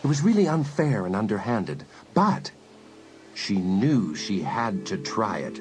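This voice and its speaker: A man narrating a teen thriller audiobook in a measured, dramatic, suspenseful style. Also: on the low side, very animated. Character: male storyteller of a teen thriller. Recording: loud music behind narration.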